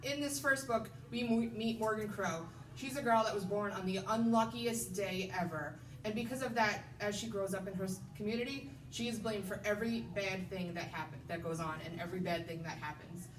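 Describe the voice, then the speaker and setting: Woman, children's librarian, scripted book pitch, echoey room, distant microphone. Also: fairly quick, few pauses. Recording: roomy, distant mic, noisy background.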